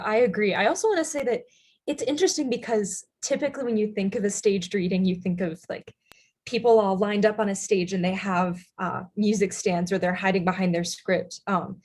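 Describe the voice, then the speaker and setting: A woman's voice: medium pitch, darkish tone, husky. A woman explaining her thoughts casually as an actor in an online panel discussion over a video call.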